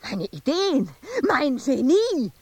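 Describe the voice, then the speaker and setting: A woman's voice: sits high, sing-song, takes it slowly, no echo, heavily acted. An actress playing an embittered, intense woman sculptor confined in an asylum, in a radio drama monologue. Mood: furious, accusing.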